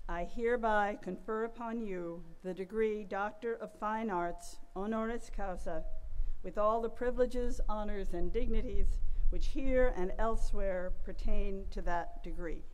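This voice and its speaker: A woman's voice: mid-range voice, measured pace, slight background noise, poor audio. Woman solemnly conferring a degree. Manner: solemn, formal. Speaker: college president conferring degree. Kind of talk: formal ceremonial declaration.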